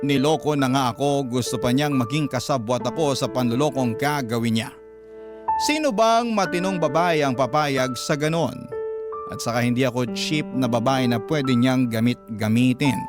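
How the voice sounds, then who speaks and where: A man's voice: mid-range voice, moderate pace. A man narrating a personal story dramatically in the first person, as a radio storytelling host. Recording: music clearly behind the voice.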